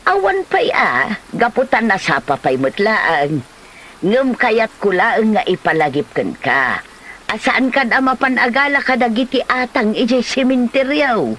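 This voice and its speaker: A woman's voice: on the high side, sing-song, measured pace, a bit bright, slight background noise. A woman playing an older mother who admonishes her grown son in a comedy audio drama. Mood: reproving. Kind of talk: comedy radio-style drama acting.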